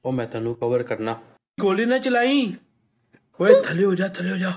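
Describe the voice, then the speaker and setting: An actor playing a rustic, bossy Punjabi soldier in short, exclamatory game voice-pack callouts, with a Punjabi accent. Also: male voice, high-pitched, sing-song, a little slow, darkish tone, heavily acted. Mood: urgent, commanding. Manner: exclamatory, commanding.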